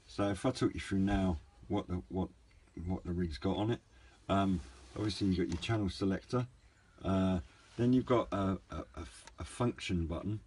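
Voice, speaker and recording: A man giving a casual, unscripted hobbyist product review in a hesitant, low-key, rambling way. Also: a little mellow. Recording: clear background noise, room ambience.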